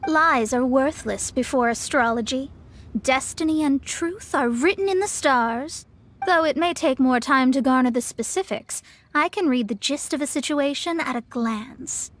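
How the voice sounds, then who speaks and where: A woman's voice: high-pitched, a little slow, a bit bright. A young woman playing a proud, self-important astrologer in a video game, speaking in a formal, theatrical, haughty manner. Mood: smug, assured. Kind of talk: English game dub acting.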